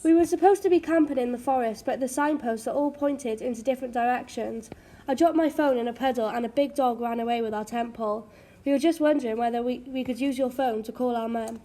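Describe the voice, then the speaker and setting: A woman's voice: a little high, fast talker, dark tone, close to an everyday voice. A woman playing a sensible, bossy young sister in an amateur radio drama. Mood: polite, apologetic.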